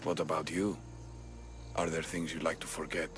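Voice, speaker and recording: A man playing an intense, earnest Spartan warrior and love interest in a cinematic video game, speaking with solemn, intimate, measured phrasing. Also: very deep voice, little pitch movement, noisy background. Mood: tender, reflective.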